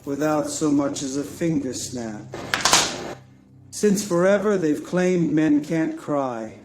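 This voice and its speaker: A man performing a dramatic poetic monologue in a solemn, deliberate manner with weighty pauses. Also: fairly high pitch, animated, heavy background noise. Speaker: spoken-word poet. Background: music behind the voice.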